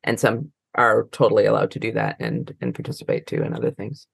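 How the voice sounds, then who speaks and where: A woman's voice: very deep voice, very animated, fairly quick, creaky, breathy edge, dry recording. A woman answering informally as a librarian guest in an interview, circling through her explanations with many false starts.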